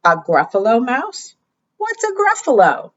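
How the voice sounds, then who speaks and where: A woman voicing a sly, hungry male fox in a children's picture book, in a high sing-song voice. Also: wildly swooping pitch, unhurried, highs cut off, heavily acted. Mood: coaxing.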